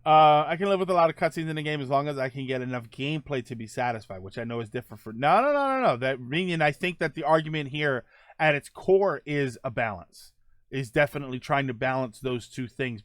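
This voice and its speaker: A man's voice: a little high, dry recording, clean studio sound. A middle-aged man hosting a casual podcast, rambling through viewer comments with many fillers and self-corrections. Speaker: podcast host.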